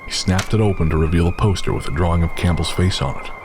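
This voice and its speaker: A man's voice: low voice, fairly even intonation, quick pace, music in the background. A man narrating a western horror story in a dramatic, scripted audio drama style.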